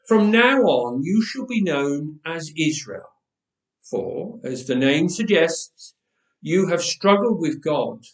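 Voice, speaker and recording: A man voicing a mighty, mysterious divine stranger in a story, solemn, calm and commanding, in an echoey church. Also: on the high side. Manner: solemn, declaratory.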